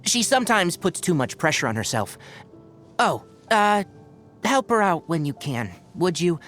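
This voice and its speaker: A man voicing a wry, caring young forest ranger with fox ears in a video game, articulate and gently didactic, with a dry delivery. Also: sits high, big pitch swings, unhurried, faint music behind, exaggerated voice. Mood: earnest, advising. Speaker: voice actor. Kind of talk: scripted video game character voice lines.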